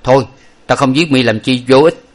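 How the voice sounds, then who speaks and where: A man's voice: mid-range voice, fairly quick, pitched above the performer's own voice, lightly acted. Man doing proud swordsman hero, stern and bossy, bit raised. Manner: commanding, stern. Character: noble confident male swordsman hero.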